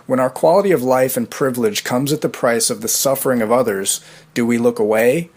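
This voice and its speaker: A man giving prepared commentary as a fan, speaking in an earnest, measured and articulate way. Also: medium pitch, a little mellow. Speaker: fan giving podcast feedback.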